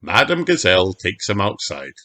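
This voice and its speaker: A man reading a children's story aloud as narrator, in a measured, animated way. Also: lowish voice, music in the background.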